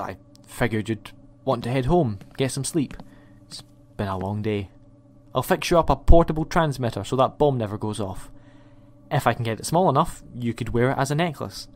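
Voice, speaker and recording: A man's voice: mid-range voice, normal speed, darkish tone, long reverb tail, natural voice. A young man playing a cheeky, eccentric time-travelling hero in a science fiction audio drama. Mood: earnest. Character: cheeky, eccentric heroic male time traveller, bold wanderer.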